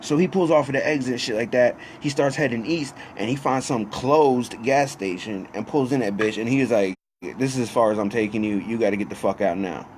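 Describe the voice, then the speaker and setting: A man's voice: medium pitch, brisk, darkish tone, low-quality recording. A man in his thirties telling a casual, profane personal story in a slangy, conversational way, quoting dialogue as he goes. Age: 30s. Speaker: storyteller and host. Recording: clear background noise.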